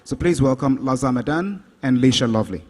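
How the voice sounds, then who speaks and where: A man's voice: medium pitch, lively intonation, fairly quick, darkish tone, slight background noise. A man hosting a conference event, making upbeat stage announcements and directing the audience. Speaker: event host.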